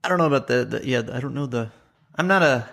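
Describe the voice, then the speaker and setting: A man hosting a casual podcast chat, talking in a rambling, halting way. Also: mid-range voice, lively intonation, creaky, a bit bright. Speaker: podcast host.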